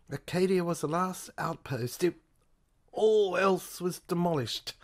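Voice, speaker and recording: Deep-voiced woman reading a sci-fi audio drama, very theatrical, halting, stretches words out. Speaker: narrator and performer of an audio play. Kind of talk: dramatic scripted narration of a science fiction audio play.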